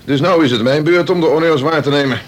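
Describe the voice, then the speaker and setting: A man playing a deputy prison governor, polite, breezy and gently teasing, in a Dutch radio play. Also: on the high side, fast, close to an everyday voice. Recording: faintly noisy old radio recording.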